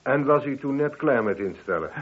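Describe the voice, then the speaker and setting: An actor playing a probing, sceptical police inspector in a Dutch radio play, questioning in a measured, dryly insistent way. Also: male voice, mid-range voice, animated, slightly rough. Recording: muffled, band-limited old radio recording.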